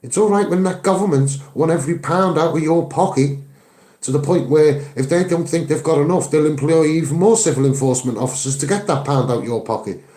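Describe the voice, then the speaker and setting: A Scouse man speaks as a campaigner in a roomy, echoing space. His voice sits a little high for a man but is soft-edged and mellow. He is earnest and emphatic, often repeating phrases to drive them home. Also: fairly quick. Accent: Liverpool (Scouse). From Liverpool, England. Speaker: activist and online video maker. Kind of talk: impassioned campaigning monologue to camera.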